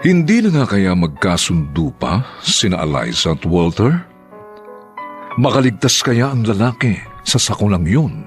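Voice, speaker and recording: A man announcing a radio drama in a theatrical, drawn-out scripted style. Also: on the low side, sing-song. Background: music.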